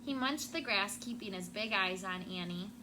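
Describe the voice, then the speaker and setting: A woman's voice: medium pitch, moderate pace. A woman schoolteacher reading a storybook aloud to children. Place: classroom. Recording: clear background noise.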